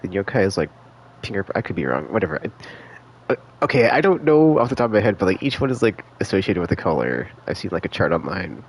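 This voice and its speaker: Low, gravelly man rambling on a game stream. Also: sing-song, fast, rough recording. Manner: rambling, hesitant, slangy, thinking aloud. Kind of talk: casual live stream commentary.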